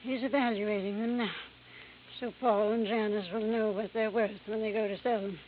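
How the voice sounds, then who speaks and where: A woman playing a gravely ill, gracious old grandmother in a radio drama, earnest and confiding. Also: mid-range voice, steady tone, moderate pace, lightly acted. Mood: confessing. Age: older. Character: frail, gracious old grandmother on her deathbed, candid. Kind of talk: old-time radio acting. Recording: muffled vintage radio recording, faint noise.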